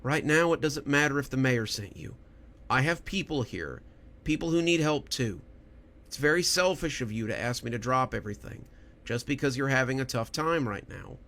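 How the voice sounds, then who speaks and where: A man voicing a firm, weary female doctor who speaks in measured reproof in a horror audio story. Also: mid-range voice, animated, fairly bright tone. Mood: firm, reproving.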